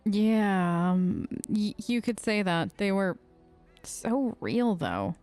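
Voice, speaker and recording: A woman's voice: medium pitch, lively intonation, takes it slowly, darkish tone, soft background music. A woman improvising casual, slightly hesitant conversational replies as her character in a game role-play. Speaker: gamer voicing her player character.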